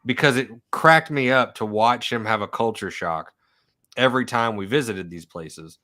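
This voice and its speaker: A man's voice: medium pitch, normal speed. A man from Oklahoma with a slight Southern accent, telling stories in a casual conversation. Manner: storytelling, animated in quoted lines. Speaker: historian researcher.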